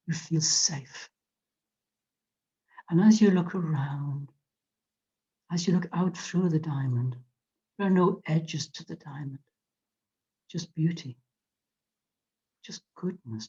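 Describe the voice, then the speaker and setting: A meditation guide leading a guided visualization in a soft, often whispered voice, speaking gently with soothing repetitions. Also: mid-range voice, husky. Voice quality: soft, frequently whispered delivery. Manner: slow, gentle, drawn-out phrasing with soothing repetitions. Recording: dull lo-fi recording.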